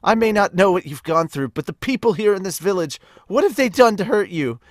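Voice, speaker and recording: A man's voice: sits high, animated, brisk, dry recording, studio quality, voice pushed up. A man voicing an earnest, anguished young villager who pleads for the innocent in an impassioned amateur manga dub. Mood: anguished pleading.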